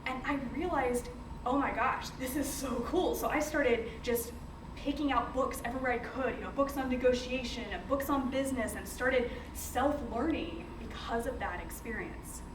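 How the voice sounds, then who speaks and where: A young American woman giving an informal, conversational presentation to a group, telling personal stories with animated emphasis. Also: high voice, moderate pace. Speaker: company founder presenting to teachers. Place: indoor room, speaking to a group. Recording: roomy, distant mic, noisy background.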